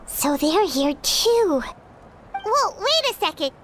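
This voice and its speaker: A woman's voice: very high voice, sing-song, very noisy, heavily acted. A woman voicing a small, chatty and blunt female fairy companion in a game. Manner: chirpy, nosy, quick to comment. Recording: game audio under music.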